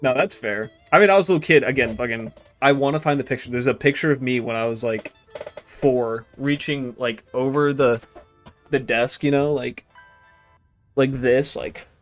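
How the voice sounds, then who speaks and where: A man's voice: mid-range voice, normal speed, soft background music. A man in his twenties chatting casually on a game stream, rambling and joking crudely, often trailing off into mutters. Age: 20s. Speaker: gaming streamer. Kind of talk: casual stream chat while gaming.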